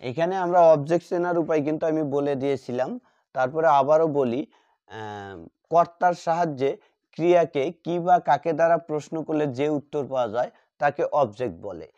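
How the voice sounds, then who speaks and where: A man teaching a grammar lesson, repeating key words slowly as he writes them out. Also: on the high side, expressive, dark tone. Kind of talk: classroom lesson at a board. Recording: dull lo-fi sound.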